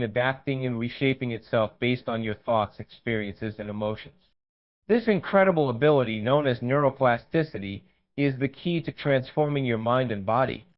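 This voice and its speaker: A man narrates a scripted guided meditation at a middling pitch. His delivery is measured and reassuring, with an instructional edge. The recording sounds slightly dull and lo-fi.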